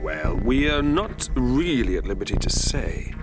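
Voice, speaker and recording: An actor playing an eager, flattering time-traveller who poses as a humble, bumbling assistant in an audio drama, speaking in florid, obsequious phrases. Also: male voice, medium pitch, unhurried, loud music behind the voice. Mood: sheepish.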